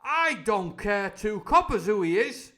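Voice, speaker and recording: A man voicing a loud, domineering pirate landlord from a storybook, blustering in sailor's talk. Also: lively intonation, normal speed. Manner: booming, blustering, sailor's speech.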